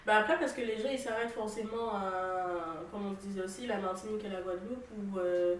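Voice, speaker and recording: A young woman from the French Antilles talking casually, relaxed and hesitant, with phrases that trail off. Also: medium pitch, brisk. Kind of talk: casual conversation. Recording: echoey room, distant mic, faint noise.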